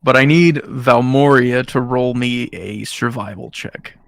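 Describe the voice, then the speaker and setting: A man's voice: medium pitch, unhurried, gravelly, no room sound. Man running a tabletop game, dry sound. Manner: improvising aloud, addressing players directly. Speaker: game master. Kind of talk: narrating a tabletop role-playing game.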